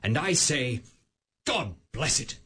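A man's voice: medium pitch, sing-song, slow, background noise. An actor playing a cheerful, earnest young nephew in a radio play, pleading with his uncle.

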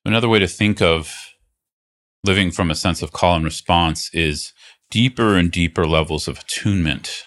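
A man leading a guided meditation as a teacher, speaking in an unhurried, reassuring way with phrases broken by pauses. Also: deep voice, fairly bright tone. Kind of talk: guided meditation, gently instructive.

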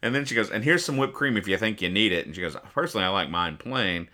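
A man's voice: fairly low pitch, lively intonation, quick pace, pushed and bright. A man hosting a comedy podcast, casually reading out and explaining comics in a rambling, mock-earnest way. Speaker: podcast host.

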